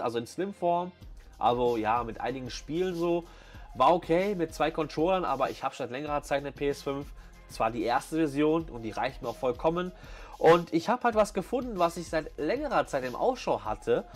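A man giving casual, enthusiastic vlog commentary full of youthful slang. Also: a little high. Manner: enthusiastic slang-filled chat. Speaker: vlog host. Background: background music.

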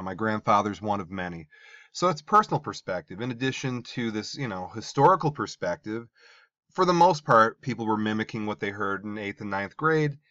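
Low-voiced male teacher lecturing over slides. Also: sharp tone, no room sound. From American Midwest. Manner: conversational, emphatic, many asides and restarts. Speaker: social studies teacher. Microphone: voice-over recorded over slides. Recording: dull dry voice-over.

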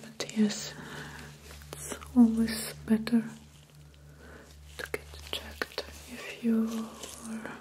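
A woman in her thirties speaking in a soft, breathy, soothing ASMR role-play style as a gentle, reassuring practitioner, close to the mic. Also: on the high side, completely flat delivery, very slow. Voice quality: soft, breathy near-whisper. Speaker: ASMR performer playing a dermatologist. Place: small indoor room.

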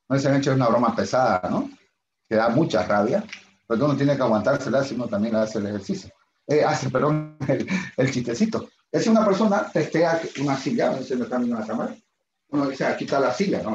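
Middle-aged lecturer with a headset mic. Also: male voice, medium pitch, a little mellow, a little hiss or hum. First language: Spanish. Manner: chatty, self-deprecating, asks students questions often. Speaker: university lecturer. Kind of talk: online class lecture. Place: room at a whiteboard, indoors. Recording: roomy, slightly dull video-call audio.

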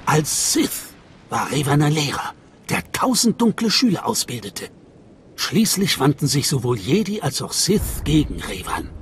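A man playing a solemn cult guide in a video game, speaking in a measured, reverent, mystical way. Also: fairly high pitch, big pitch swings, slight background noise. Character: solemn male cult guide welcoming initiates. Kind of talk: dramatic video game voice acting in German.

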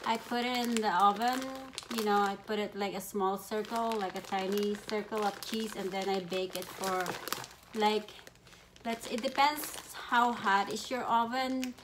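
A woman in her thirties who did not grow up speaking talks through her groceries at a leisurely pace. Her pitch sits in the middle of the range. The camera is on the table in front of her, and plastic bags rustle and crinkle clearly in the room. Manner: relaxed, unhurried, plain-spoken with pauses. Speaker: vlogger. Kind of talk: casual grocery haul chat. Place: home dining room, indoors. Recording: plastic bag crinkling noise.

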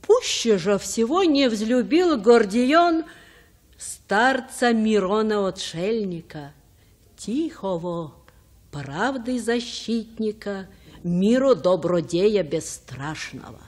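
An actress playing a gentle old grandmother, telling folk tales in a measured, sing-song chant. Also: mid-range voice, expressive. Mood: solemn storytelling. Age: older. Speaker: storyteller. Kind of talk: folk verse tale, sing-song storytelling.

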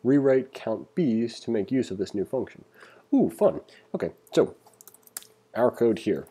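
Husky, very dark-voiced man teaching coding. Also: lowish voice. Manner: informal, thinking aloud. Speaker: programming instructor. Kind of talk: casual coding tutorial, reading exercises aloud and thinking through code.

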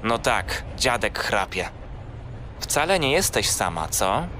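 A man's voice: fairly low pitch, big pitch swings, slow pace, background noise. An actor playing a kindly, teasing young man, a big brother, in a children's audio drama.

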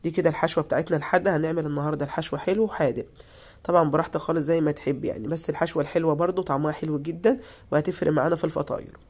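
A woman with an Egyptian Arabic accent, hoarse from being ill, giving a chatty, reassuring voice-over for a cooking tutorial. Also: low voice, steady tone, rapid-fire, a little mellow. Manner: chatty, reassuring, repeats herself. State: hoarse from illness. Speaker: cooking channel host.

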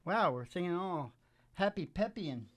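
A man's voice: high voice, animated, moderate pace. A middle-aged man hosting with rambling, joking, casual stage banter between songs. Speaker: singer and show host. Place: small dim curtained stage indoors. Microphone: microphone on a stand. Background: acoustic guitar music.

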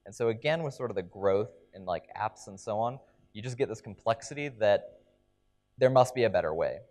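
Low-voiced man giving a keynote. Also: animated, fairly quick, a little mellow. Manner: explanatory, frequent ums and restarts. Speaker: keynote speaker. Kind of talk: conference keynote talk. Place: large conference stage.

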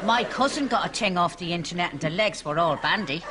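A woman's voice: lowish voice, expressive, fairly quick, lightly acted. A woman playing a naive, guileless Irish neighbour in a sitcom, innocent and matter-of-fact. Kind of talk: live sitcom acting. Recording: very noisy TV studio sound.

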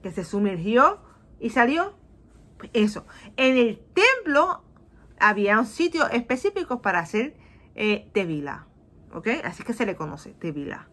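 Animated Puerto Rican woman teaching kids. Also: fairly high pitch, a little slow. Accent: Puerto Rican Spanish. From Puerto Rico. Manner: animated, acts out voices of people, asks "¿ok?" often; mixes English into Spanish. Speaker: children's Bible teacher. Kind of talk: lively Bible lesson for children. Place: small indoor room. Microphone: webcam on a video call.

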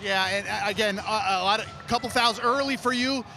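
A male sideline reporter with a high voice talks fast, firing off friendly postgame questions. He stands on the arena floor, speaking into a handheld mic. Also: over music. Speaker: sideline interviewer. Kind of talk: postgame interview.

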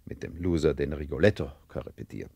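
Stern dad in an audio drama, very deep and raspy, mellow, lively intonation. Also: male voice, fast talker, dry recording, exaggerated voice. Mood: resolute, placating. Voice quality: deep, gravelly. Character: stern, preoccupied father, an orchestra conductor. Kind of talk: German radio play acting.

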